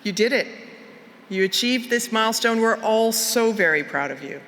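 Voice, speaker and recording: A middle-aged woman, a university chancellor giving a measured formal address at an outdoor convocation through a podium microphone. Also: a little high, expressive, fairly bright tone. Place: outdoor university plaza with a large crowd. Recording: long outdoor PA reverb, faint ambience.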